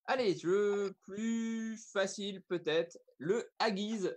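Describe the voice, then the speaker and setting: A woman's voice: medium pitch, slow pace, a little mellow. A woman hosting a guessing game in a casual, chatty way. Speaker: quiz host on a youth radio-style talk show.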